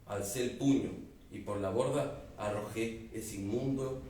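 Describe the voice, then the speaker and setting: A man's voice: lowish voice, unhurried, mellow. A man with an Ecuadorian accent reads a passage from his novel at an embassy book presentation, his delivery building in dramatic emphasis. The sound comes from a distance in a very echoey hall. A faint hiss sits underneath. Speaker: author. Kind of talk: book presentation with reading aloud. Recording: echoey room, distant mic, faint noise.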